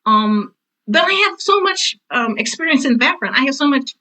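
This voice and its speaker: A woman's voice: high-pitched, normal speed, rough recording. An older woman in her sixties or beyond, speaking as an interview guest in a candid, blunt, conversational way, often acting out quoted remarks. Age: 60s or older. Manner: blunt, animated storytelling with self-quotes. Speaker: interview guest, veteran theater teacher.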